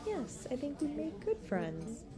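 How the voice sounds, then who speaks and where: Slow-talking, coy young woman on a date, acted. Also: high voice, huge pitch swings, a crowd in the background, full character voice. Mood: shy, playful. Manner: teasing, coy, giggly, hesitant pauses. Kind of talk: romantic roleplay audio acting.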